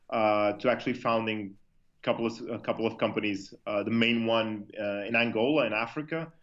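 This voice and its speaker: A man from Lisbon, Portugal, answering conversationally as an entrepreneur guest in an interview. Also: fairly low pitch. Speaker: company co-founder, interview guest. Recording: dull, lo-fi sound.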